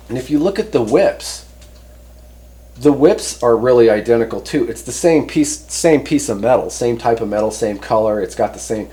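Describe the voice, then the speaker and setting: A man presenting a product review in casual, unscripted talk, rambling conversationally and often pausing to rephrase himself. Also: mid-range voice, warm tone. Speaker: host reviewing CB radio antennas.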